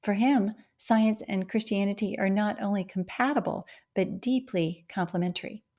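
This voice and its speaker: A woman hosting an interview podcast, warmly effusive and asking long, multi-part questions. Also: medium pitch, fairly even intonation, moderate pace. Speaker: podcast host.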